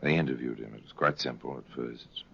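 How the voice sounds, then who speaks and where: A man narrating a dramatic old radio crime series in a grave, deliberate storyteller's manner. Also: very deep voice, gravelly, exaggerated voice. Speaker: narrator and host. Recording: dull vintage radio recording, loud music behind.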